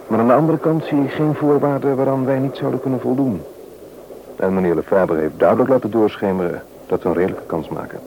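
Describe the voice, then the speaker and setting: An actor playing a sensible, hopeful married man in measured radio drama dialogue. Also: medium pitch, natural voice. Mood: earnest, hopeful. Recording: noisy old radio recording.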